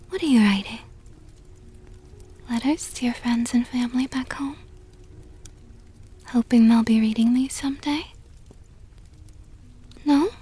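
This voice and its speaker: A woman playing a seductive, possessive vampire countess in an ASMR roleplay, teasing in a soft whisper with mockingly sweet, coaxing words. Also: sits high, husky, heavily acted.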